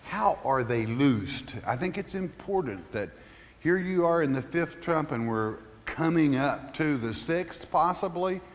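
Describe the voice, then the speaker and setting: A man's voice: fairly high pitch, expressive, measured pace, in a large hall. A male pastor teaching a Bible study in a conversational way, reading scripture aloud and putting questions to his listeners.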